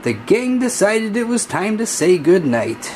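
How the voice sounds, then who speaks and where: A man reading a children's story aloud in a deliberate, singsong storybook manner. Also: sits high, big pitch swings, background noise. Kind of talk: scripted children's read-aloud narration.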